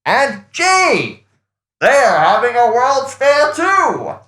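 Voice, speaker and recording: A man voicing a tiny, squeaky cartoon announcer who is trapped in a miniature world and prone to panic. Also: wildly swooping pitch, drawn-out words, pushed and bright, roomy sound, heavily acted. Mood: tiny, panicked. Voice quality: small, squeaky.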